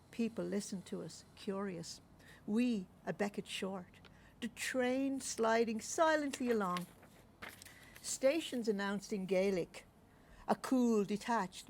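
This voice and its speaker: A woman's voice: medium pitch, vocal fry, slight background noise. A woman reading literary verse aloud.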